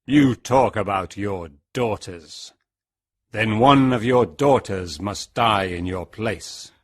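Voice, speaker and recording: A reader voicing an angry male beast in a storybook, speaking slowly and threateningly. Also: medium pitch, voice pushed down. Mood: stern. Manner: slow, heavy, threatening speech. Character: huge angry male beast, stern and menacing. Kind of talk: storybook reading.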